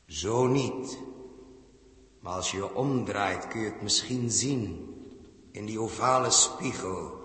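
Knowing phantom voice, low and measured, ominous, drenched in echo, sixties radio play. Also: male voice, fairly bright tone. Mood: insinuating. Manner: measured, solemn, insinuating. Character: ominous, knowing, disembodied male inner voice haunting the hero. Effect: reverb on the voice. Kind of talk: 1960s radio drama acting. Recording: long cavernous reverb, old radio recording.

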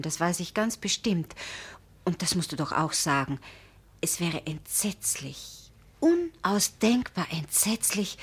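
Casting: earnest, proud young lady for radio drama, lowish voice, direct, emphatic. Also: slightly rough. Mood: grave, insistent. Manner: direct, emphatic, pleading or drily pointed. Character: proud, earnest, frank young woman engaged to marry. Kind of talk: German radio drama acting.

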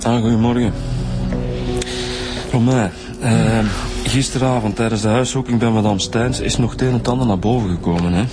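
An actor with a Flemish accent playing a plain-spoken police inspector, colloquial and matter-of-fact in a radio drama. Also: male voice, medium pitch, fairly even intonation, normal speed, music in the background, close to an everyday voice. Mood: reporting findings. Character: informal, plain-spoken police detective inspector, practical junior colleague.

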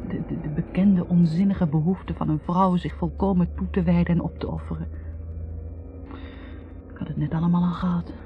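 An actress playing a candid, intense young student who analyses herself haltingly, in a confessional 1960s radio drama monologue. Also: female voice, lowish voice, fairly even intonation, natural voice. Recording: muffled, noisy 1960s radio recording.

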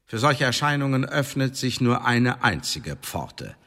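An actor playing a stern, scornful spymaster's interrogator in a radio drama, officious and sardonic, heard in a reverberant hall. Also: male voice, medium pitch, sing-song, a little slow, bright, forceful tone, heavily acted. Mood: accusing. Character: stern, scornful male spy-service interrogator in Elizabethan England.

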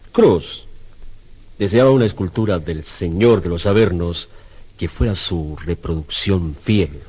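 An actor playing a troubled, brooding sculptor in a radio suspense drama, speaking gravely and theatrically with hesitant pauses. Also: male voice, sits low, a little mellow, full character voice, poor audio. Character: troubled Spanish sculptor, brooding husband tormented by a commission.

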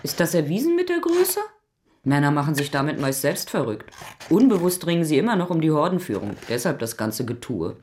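Radio play role: proud, wounded former dominatrix out for revenge, notably low female voice. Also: sing-song, a little fast, a little mellow, a little hiss or hum. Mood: detached, curious. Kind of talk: radio drama acting.

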